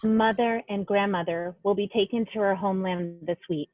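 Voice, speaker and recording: A woman's voice: mid-range voice, fairly even intonation, normal speed, no room sound, faint music behind. A woman actor reading a written memorial tribute in a solemn style, heard through a tinny, band-limited connection.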